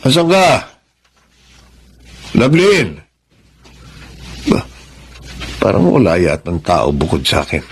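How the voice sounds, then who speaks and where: An actor playing a heartbroken, regretful middle-aged husband in a Filipino radio drama, speaking in an anguished, dramatic way. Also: male voice, sits low, huge pitch swings, slight background noise, full character voice. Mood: anxious searching. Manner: anguished, slow dramatic delivery.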